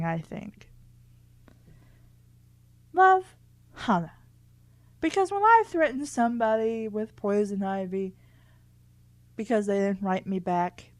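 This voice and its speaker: A woman's voice: mid-range voice, darkish tone, no room sound. Wry woman reading aloud, dry close sound. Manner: wry, candid asides. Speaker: host reading a children's book aloud and commenting. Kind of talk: casual read-aloud with commentary.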